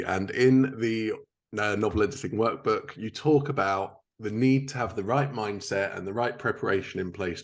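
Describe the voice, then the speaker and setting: A man hosting a podcast, chatting casually as he interviews a guest. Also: fairly low pitch. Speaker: podcast host, editor.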